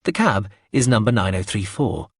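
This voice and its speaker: Brief: low, husky male narrator, wry and measured, dry close sound. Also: animated, no room sound. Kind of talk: first-person audiobook narration.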